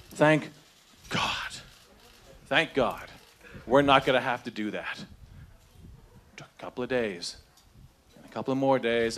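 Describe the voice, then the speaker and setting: A man's voice: a little high, fairly bright tone, a little hiss or hum. A man in his thirties speaking angrily and emotionally into a handheld microphone at a crowded town hall meeting, confronting his congressman. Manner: angry, confrontational, rising in emotion. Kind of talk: impassioned public speech. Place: large crowded meeting room indoors.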